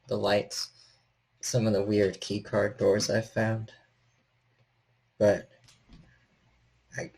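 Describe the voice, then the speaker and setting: A man voicing a stocky, gentle, frightened handyman in a tabletop horror game, speaking hesitantly and stammering in a friendly way. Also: fairly low pitch, gravelly, rough recording.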